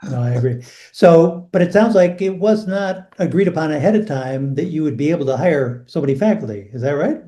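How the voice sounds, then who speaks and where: A man conducting an oral history interview, asking gentle questions and giving brief listening replies. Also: fairly high pitch, lively intonation, brisk, few pauses.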